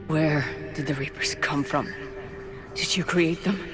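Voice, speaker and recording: A woman's voice: sits low, steady tone, a little slow, over music. A woman playing a battle-worn, wary soldier hero in a video game, with terse, weary, questioning delivery. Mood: probing, skeptical. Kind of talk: video game cutscene acting. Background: game score.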